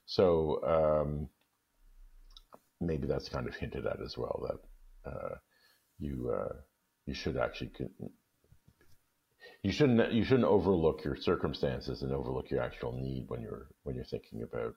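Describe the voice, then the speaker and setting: A man chatting casually as a guest, halting and repeating words as he thinks aloud. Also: a bass voice, vocal fry, husky, muffled recording. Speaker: guest story reader in discussion. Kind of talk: casual conversation.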